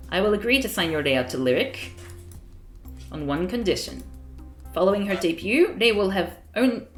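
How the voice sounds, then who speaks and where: A woman reading the lines of a calculating male company president in a visual novel, measured and formal. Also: mid-range voice, roomy sound, natural voice.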